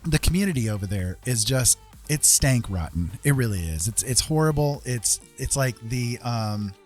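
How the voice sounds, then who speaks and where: A man casually chatting as a livestream host, earnest and encouraging, talking in a halting way with pauses. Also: medium pitch, lively intonation, soft background music. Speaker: livestream host and digital artist.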